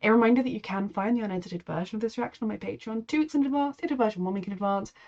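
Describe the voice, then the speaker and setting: A woman with a head cold, chatting warmly and reacting to a TV episode as she watches it, speaking kindly to the characters. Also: medium pitch, very fast, highs cut off. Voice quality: nasal from a cold. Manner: chatty, empathetic, talks to the characters. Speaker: reaction video host. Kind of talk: casual reaction commentary.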